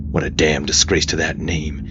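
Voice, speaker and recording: A man voicing a relentless, taunting military drill sergeant in a sci-fi audio story, loud and full of contempt. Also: rumbling low, level tone, normal speed, muffled recording, noisy background. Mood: shouting contempt. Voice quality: loud, forceful shouting. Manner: taunting, browbeating.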